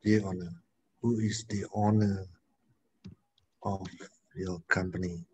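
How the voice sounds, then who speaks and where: A man's voice: low voice, little pitch movement, takes it slowly, a little mellow, slightly rough, dull audio. Hesitant Malaysian man in his thirties. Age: 30s. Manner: hesitant, searching for words. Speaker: student. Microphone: video call.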